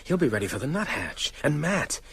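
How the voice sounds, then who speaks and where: An earnest, worried vampire hunter in a radio horror drama. He reasons urgently and emphatically, with animated pitch. Faint ambience sits behind the voice. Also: brisk, creaky, a little hiss or hum, full character voice. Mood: bleak, pressing. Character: earnest, anxious male friend turned methodical vampire hunter. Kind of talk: audio drama acting.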